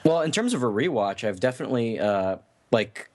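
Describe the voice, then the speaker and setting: A man co-hosting a podcast in relaxed casual chat, filling his speech with "like". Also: mid-range voice, fairly quick. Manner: relaxed, fills with "like" often. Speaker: podcast co-host.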